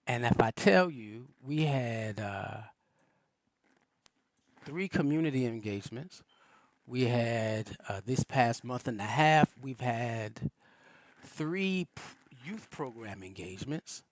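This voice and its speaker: A man's voice: medium pitch, expressive, slow pace, no room sound. A man, a reverend, giving a loose, conversational spiritual teaching on a livestream, with many fillers and restarts.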